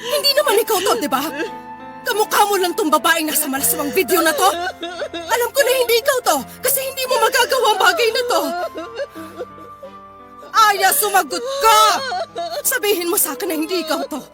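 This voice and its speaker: A woman's voice: very high voice, lively intonation, a little fast, long pauses. A woman playing an outraged, ashamed mother who scolds and pleads tearfully in an emotional radio drama. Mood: tearful disbelief. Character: outraged, ashamed mother confronting her daughter. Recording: prominent music behind the voice.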